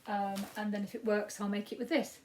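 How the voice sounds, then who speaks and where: A woman in her fifties with a Yorkshire accent and a croaky voice, giving a rambling, casual crafting update with frequent ums. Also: medium pitch, little pitch movement, fairly quick, mellow, a little hiss or hum. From Yorkshire, England. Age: 50s. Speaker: crafting podcast host.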